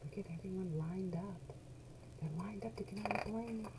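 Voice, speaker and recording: A middle-aged woman reading a children's picture book aloud expressively, drawing out key words in a small room at home. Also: mid-range voice. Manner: animated storyteller, deliberate, drawn-out key words. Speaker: children's book read-along host. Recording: noisy home webcam recording.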